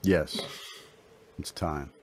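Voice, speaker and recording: Man playing a very deep, solemn holy stranger. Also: steady tone, darkish tone, slight background noise, exaggerated voice. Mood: gently probing. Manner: solemn, measured, archaic biblical phrasing. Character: mysterious solemn holy stranger, gentle fatherly male comforter. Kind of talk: radio drama acting.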